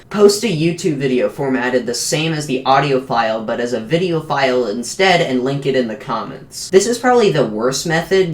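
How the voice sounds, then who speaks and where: Guy doing a scripted explainer voiceover, regular mid voice, kinda echoey room. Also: medium pitch. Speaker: animator and show creator announcing auditions. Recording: roomy, echoing voice recording.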